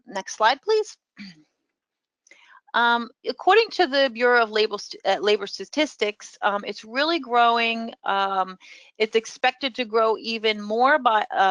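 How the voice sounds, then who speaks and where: Bright-voiced woman with a New York accent. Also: mid-range voice, no room sound. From New York City. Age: middle-aged. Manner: explanatory, filler-heavy. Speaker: professor. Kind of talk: webinar presentation.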